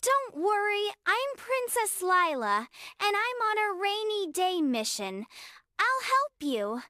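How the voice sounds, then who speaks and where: Woman as a very high, bright little princess. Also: big pitch swings, slow pace, no room sound, clean studio sound, exaggerated voice. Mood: caring, reassuring. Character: cheerful, brave, kind little girl princess. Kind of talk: children's bedtime story character voice.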